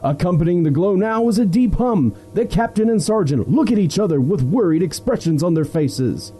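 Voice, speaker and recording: A man's voice: high-pitched, animated, normal speed, mellow, husky. A man playing a grandiose, melodramatic announcer who narrates a parody old-time radio adventure serial. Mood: suspenseful. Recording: music behind the voice.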